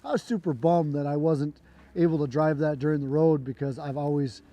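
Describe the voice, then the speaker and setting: A man with a hoarse voice, still recovering from a cold, casually telling a long story to camera. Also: a little high, brisk, lo-fi sound. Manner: relaxed, rambling, with fillers and restarts. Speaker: trick shooter and channel host. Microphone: camera mic.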